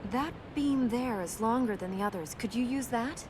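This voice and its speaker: A woman playing a solemn, resolute young princess in a video game, speaking in an earnest, measured, matter-of-fact way. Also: close to an everyday voice. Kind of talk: natural video game character acting. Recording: game capture with rumbling background noise.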